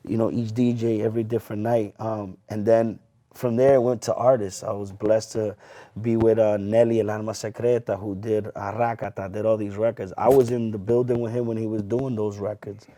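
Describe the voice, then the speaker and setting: Low mellow-voiced male hype man. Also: a little fast. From US, Lawrence Massachusetts. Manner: animated, repeats phrases, music slang. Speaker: guest, hype man and promoter. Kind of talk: casual interview storytelling.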